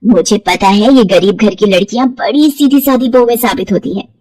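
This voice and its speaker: A middle-aged mother-in-law as a woman storyteller plays her, sharp-tongued and always fretting. She complains and scolds in a dramatic cartoon-story manner, recorded dry and close. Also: on the high side, a little fast, no echo, close to an everyday voice. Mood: smug.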